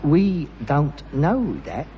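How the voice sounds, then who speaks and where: Scheming London son, male, high voice, very lively intonation, pushy, self-important, sitcom, muffled sound. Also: extremely slow, heavily acted. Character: eager, scheming son with big business pretensions. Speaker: actor in a sitcom. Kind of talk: British sitcom acting. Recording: muffled, noisy old sitcom recording, reverberant.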